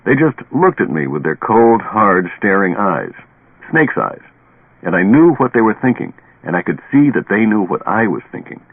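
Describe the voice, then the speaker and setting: An actor playing a stubborn, haunted, tipsy professor who lectures deliberately and dramatically in a radio play. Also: male voice, on the low side, big pitch swings, phone-quality sound. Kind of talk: old radio drama acting. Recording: band-limited old radio recording, echoey.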